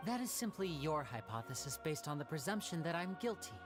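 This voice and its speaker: Young magician boy in a game, composed, polite, lowish but lively, music under it. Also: brisk. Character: composed young male stage magician defending himself. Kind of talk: video game voice acting.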